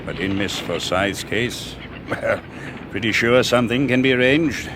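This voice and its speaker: A man's voice: mid-range voice, measured pace, a bit bright, slight background noise, lightly acted. A man playing a courteous ship's captain. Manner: courteous, matter-of-fact. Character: polite, deferential ship's captain. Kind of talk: 1980s radio drama acting.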